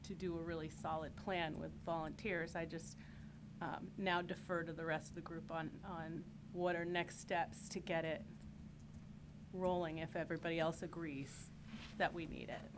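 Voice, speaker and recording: A woman's voice: low voice, level tone, moderate pace. A woman on a town board, speaking hesitantly with many fillers and deferring to the group. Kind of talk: meeting discussion. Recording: noisy meeting room audio.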